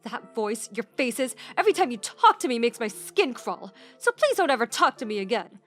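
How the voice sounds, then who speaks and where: A woman's voice: a little high, big pitch swings, normal speed, a bit bright, faint music behind. Woman putting on a haughty, nagging older grandmother. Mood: cold, hateful. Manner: scolding, haughty. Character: spiteful, nagging older grandmother, openly playing favorites. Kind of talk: acted dialogue in narrated story.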